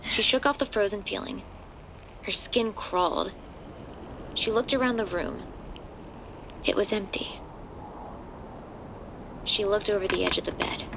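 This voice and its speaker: A woman's voice: medium pitch, a little slow, creaky, long pauses, background noise. A woman narrator reading a scripted horror story for an audio drama.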